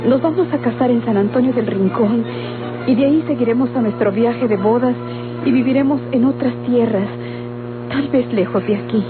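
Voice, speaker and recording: An actress playing an anguished, defiant daughter in a Mexican radio drama, speaking with melodramatic pleading in a Mexican accent. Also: female voice, fairly high pitch, moderate pace, natural voice. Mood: pleading, resolute. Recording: tinny, humming old radio recording with music.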